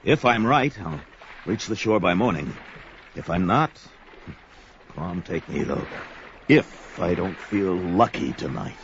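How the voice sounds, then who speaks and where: A man's voice: deep voice, animated, takes it slowly, pauses often, slight background noise, full character voice. An actor playing a bold, grim barbarian warrior who narrates his own actions melodramatically in an audio adventure drama. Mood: grim, resolute. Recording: dull lo-fi audio drama under music.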